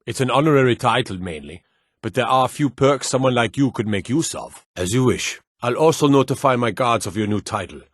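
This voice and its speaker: An actor playing a stern, dutiful fantasy lord and soldier in a video game, speaking in a formal, measured, authoritative way. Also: male voice, medium pitch, animated, no room sound, close to an everyday voice. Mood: courteous, formal. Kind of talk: video game character dialogue.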